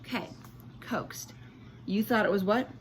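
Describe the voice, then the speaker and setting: A woman's voice: medium pitch, unhurried, a little hiss or hum, low-quality recording. Woman teacher reading aloud, emphatic and explanatory. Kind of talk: expressive storybook read-aloud with teaching asides.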